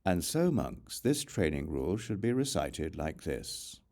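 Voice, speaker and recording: A man reading scripture as an audiobook narrator, in a measured, formal recitation. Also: a bass voice, very animated, gravelly, a little mellow. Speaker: audiobook reader.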